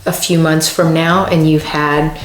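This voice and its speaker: Low voiced woman chatting on a podcast, chimes in a lot, echoey room. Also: little pitch movement, measured pace, slight background noise. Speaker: podcast co-host. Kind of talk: casual chat.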